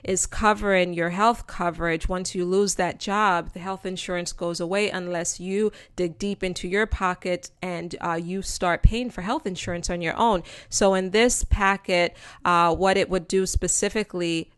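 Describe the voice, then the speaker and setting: A woman with a Jamaican accent talks viewers through a slide presentation in a relaxed, chatty way, calling them friends and guys and filling pauses with ums. Her voice sits low and sounds smooth and resonant. The recording is close and dry, with no room sound. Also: no echo. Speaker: host of a channel explaining news to viewers. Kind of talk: casual explainer presentation over slides.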